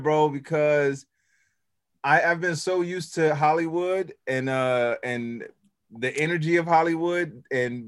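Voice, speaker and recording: A man's voice: medium pitch, a bit bright, clean tone. A man hosting a podcast, chatting casually and animatedly with slang and many restarts. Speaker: podcast host. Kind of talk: casual chat.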